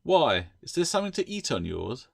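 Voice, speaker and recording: A man's voice: mid-range voice, huge pitch swings, moderate pace, vocal fry, breathy edge, dry recording, studio quality, voice pushed up, full character voice. A man voicing a cheeky, proud and greedy giant gecko familiar in a storybook, indignant and boastful.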